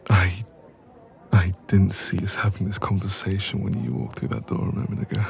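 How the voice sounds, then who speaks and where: An anxious, self-doubting young man, played by a male voice actor in an intimate audio roleplay. His voice is very low and gravelly, with a dark, relaxed tone. He speaks softly and hesitantly, as if confiding in a close friend. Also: soft background music. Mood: downcast, vulnerable. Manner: hesitant, soft-spoken, trailing pauses.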